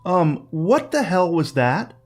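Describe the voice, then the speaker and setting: A man's voice: high voice, big pitch swings, slow, darkish tone, soft background music, pitched above the performer's own voice, full character voice. A man voicing a nervous young night clerk who stammers and hesitates. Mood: bewildered.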